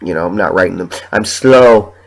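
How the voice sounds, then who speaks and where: A young man hosting his own podcast while sick with the flu, sniffling and talking in a halting, rambling, emotional way with plenty of swearing. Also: low-pitched, a little hiss or hum. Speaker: podcast host. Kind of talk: solo podcast monologue.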